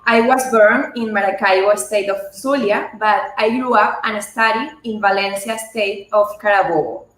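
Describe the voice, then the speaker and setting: A woman's voice: medium pitch, moderate pace, few pauses, fairly bright tone. A young woman from Venezuela, a Spanish speaker, giving a polite, formal self-introduction as a guest on a video call. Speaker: pageant titleholder guest. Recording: roomy video call audio.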